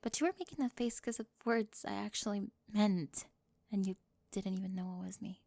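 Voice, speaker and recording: A woman voicing a flustered, defensive teenage cheerleader bully with a secret crush in an ASMR roleplay, halting and nervous, often dropping toward a whisper. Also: medium pitch, fairly even intonation, gravelly, a little mellow, dry recording. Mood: vulnerable, regretful. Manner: halting, self-interrupting, nervous laughs, drops into whispers.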